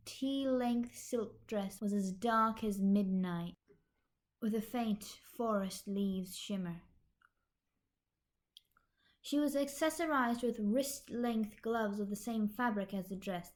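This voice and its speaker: A woman's voice: a little high, darkish tone. A woman reading scripted story narration as an amateur fan fiction narrator.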